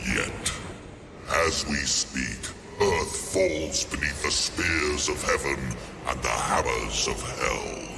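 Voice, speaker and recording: An actor playing a stern, imperious council spirit in a video game, solemn, commanding and portentous as it passes judgment. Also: male voice, rumbling low, takes it slowly, vocal fry, music in the background, heavily acted. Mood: grave, authoritative. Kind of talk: video game cutscene acting.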